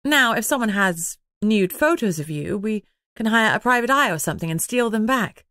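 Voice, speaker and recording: A woman's voice: medium pitch, expressive, moderate pace, pushed and bright, no echo, pitched above the performer's own voice. Middle-aged mother played by a woman, protective, fussing, dramatic, audiobook dialogue, dry sound. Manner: matter-of-fact, fussing.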